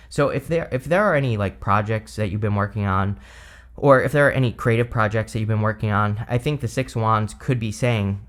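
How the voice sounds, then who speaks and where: A man giving a relaxed, rambling tarot reading with lots of "you know" and "uh", his voice a bit hoarse from allergies. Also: mid-range voice. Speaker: tarot reader. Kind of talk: casual tarot reading to camera.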